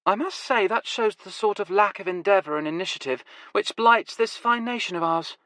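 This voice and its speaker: A man's voice: sits high, normal speed, pushed and bright, dry recording, pitched above the performer's own voice. A man voicing a sardonic woman who speaks in a dry, mock-formal, teasing way. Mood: wry.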